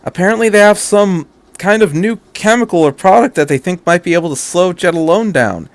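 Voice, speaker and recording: A man acts an alert military lieutenant in an audiobook story, his voice lifted in pitch. He reports formally and earnestly to his superior. The recording is dry. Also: lively intonation, fairly quick, no echo. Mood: alert, worried. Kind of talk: fan fiction audiobook character.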